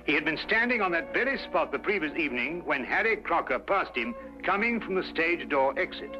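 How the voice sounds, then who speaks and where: An actor playing an earnest, genteel detective's companion who also narrates an old-time mystery drama. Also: male voice, a little high, very animated, a little fast, pushed and bright, soft background music. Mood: measured storytelling. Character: earnest gentleman, detective's loyal friend and narrator. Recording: dull old radio recording.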